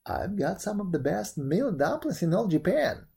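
A brave young storybook hero, voiced by a storyteller, confident and plain-spoken. The tone is cheerful and mellow, with lively movement in pitch. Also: moderate pace, gravelly, husky, heavily acted. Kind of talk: storybook character voice.

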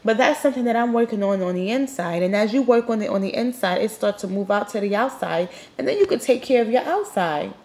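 A woman's voice: medium pitch, expressive, fast talker, hardly any pauses, a little mellow. Nasal, sniffly young woman. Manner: emphatic, blunt, talks straight to listeners. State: sniffly with a cold. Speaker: podcast host. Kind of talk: candid motivational monologue.

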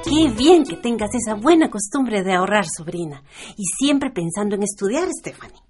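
An actress with a Peruvian accent playing a sensible, protective middle-aged aunt in a radio drama, earnest in manner. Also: female voice, medium pitch, lively intonation, moderate pace, music in the background, lightly acted. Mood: kindly. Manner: earnest, admonishing.

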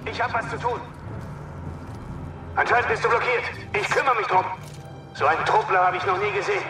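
Man as very high, urgent young fighter. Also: roomy sound, distant mic, music in the background, natural voice. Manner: urgent, brisk. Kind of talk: German science fiction audio drama acting.

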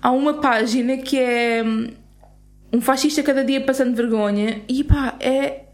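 Earnest European Portuguese woman, higher voice. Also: fairly even intonation, normal speed. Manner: earnest, indignant, stops and restarts mid-sentence. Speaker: podcast co-host. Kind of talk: casual podcast chat.

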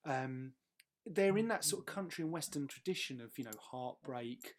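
A man's voice: medium pitch, expressive, moderate pace. A man speaking as a guest in a casual music chat, enthusiastic and often repeating words as he finds his way. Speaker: guest choosing and discussing songs; pedal steel guitar player.

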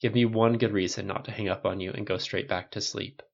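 A man voicing a dry, gently teasing male military friend. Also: lowish voice, fairly even intonation, fairly quick, gravelly, highs cut off.

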